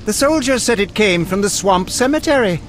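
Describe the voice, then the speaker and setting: A man's voice: high-pitched, lively intonation, a little fast, a bit bright, slight background noise. A man acting an eccentric, morbidly curious alchemist scholar in a video game, erudite and precise in his speech. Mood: scholarly, fascinated. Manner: erudite, precise, relishing grisly detail.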